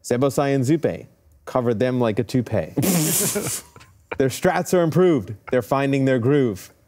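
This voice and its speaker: A man's voice: mid-range voice, a little slow, warm tone. A man on a casual esports panel, talking as an analyst and host with playful storytelling.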